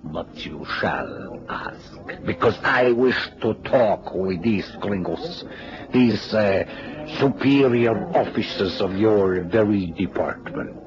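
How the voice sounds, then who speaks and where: An actor playing a swaggering, mockingly servile informant with a Mexican accent and a hesitant, insinuating manner in an old radio drama. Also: male voice, fairly low pitch, slow pace, dull audio, music in the background. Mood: mocking, oily. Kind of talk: 1970s radio drama acting.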